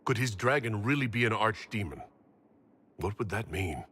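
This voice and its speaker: A man's voice: fairly low pitch, expressive, normal speed, fairly bright tone, breathy edge. An actor playing a resolute male leader of a fantasy resistance in a video game cutscene. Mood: grave, questioning.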